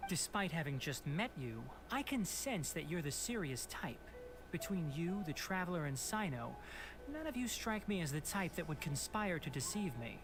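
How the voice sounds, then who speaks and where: An actor playing a calm, principled male doctor in a video game, speaking in a measured, polite and firm way. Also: fairly high pitch, over music. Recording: game audio with clear background hiss.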